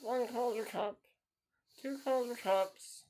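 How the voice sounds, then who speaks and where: A man's voice: extremely high pitch, slow, darkish tone, rough recording. A man in his thirties hosting a solo podcast, talking in an earnest, repetitive way and circling back to the same phrases. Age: 30s. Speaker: podcast host.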